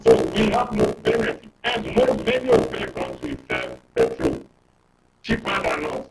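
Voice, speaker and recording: A man's voice: very deep voice, huge pitch swings, normal speed. A Nigerian-accented man explaining emphatically as a panel guest, building his points step by step with check questions. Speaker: panel guest, expert commentator. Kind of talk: explanatory live discussion with everyday analogies. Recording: roomy, distant mic, clear noise and clicks.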